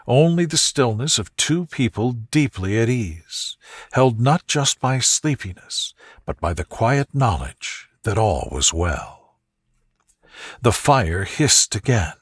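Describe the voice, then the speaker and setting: A man narrating a scripted sleep story in a calm, gentle, soft-spoken and soothing way. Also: mid-range voice, no echo, studio quality.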